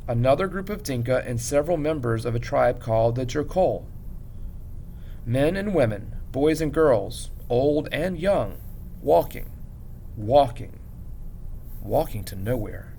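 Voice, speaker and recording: A man reading a story aloud as a teacher would, in an expressive, measured narration with dramatic pauses. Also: mid-range voice, gravelly, a little hiss or hum.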